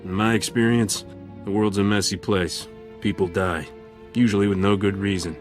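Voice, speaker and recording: An actor voicing a roguish smuggler captain in a video game, laconic and wry. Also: male voice, on the low side, fairly even intonation, normal speed, faint music behind, close to an everyday voice. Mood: matter-of-fact.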